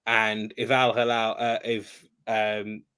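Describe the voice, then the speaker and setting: A man's voice: lowish voice, monotone, slow, sharp tone, clear, resonant tone. A man on a casual football podcast, hesitant and rambling with many ums. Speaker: guest panelist.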